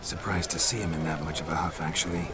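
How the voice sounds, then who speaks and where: An actor playing a gruff, terse monster hunter in a fantasy game, deadpan. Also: male voice, a bass voice, quick pace, over a noisy crowd. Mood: wry. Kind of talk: game cutscene acting.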